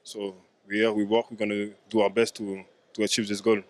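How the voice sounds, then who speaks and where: A man on a national basketball team, speaking haltingly with repeated "you know" and restarts. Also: lowish voice, flat delivery.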